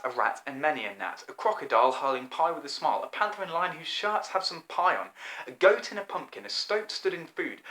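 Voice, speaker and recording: Higher-voiced young man reading a storybook. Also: bright, forceful tone, rough recording. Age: 20s. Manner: theatrical, deliberate rhyming delivery. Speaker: author reading own children's book. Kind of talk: expressive rhyming storybook narration. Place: small plain room indoors.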